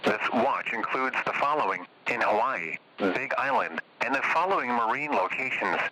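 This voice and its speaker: A man's voice: medium pitch, lively intonation, normal speed, slight background noise, low-quality recording. A man reading scripted weather alert bulletins as a radio announcer, in a formal, impersonal and stilted manner.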